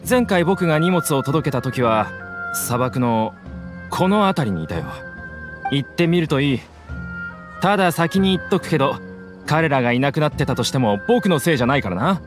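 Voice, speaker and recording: Imagine a jumpy, shifty young smuggler who pleads and dodges the blame. His pitch swings widely from line to line. Loud game music plays under his voice. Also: sing-song, moderate pace. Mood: nervous. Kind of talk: Japanese game dub.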